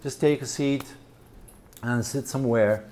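A middle-aged Bulgarian man with a Bulgarian accent presents a seminar to a room of listeners. His voice is mellow and dark. He talks haltingly, leaning on "uh" and "um" and restarting his words as he goes. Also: medium pitch. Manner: hesitant, many fillers and repeated words. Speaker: missionary and church planter speaking at a seminar.

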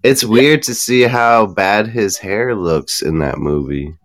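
A man's voice: fairly low pitch, slow pace, a bit bright, soft background music. A man taking part in a casual radio chat, sounding laid-back and amused. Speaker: show participant.

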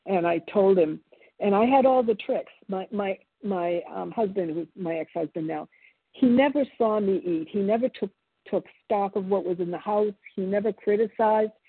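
A woman's voice: mid-range voice, husky, dry recording. Older woman telling her story by phone. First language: Italian. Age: 70s. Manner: halting, many fillers and restarts. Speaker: meeting speaker sharing her story. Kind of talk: personal testimony at a recovery meeting. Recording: phone-line audio.

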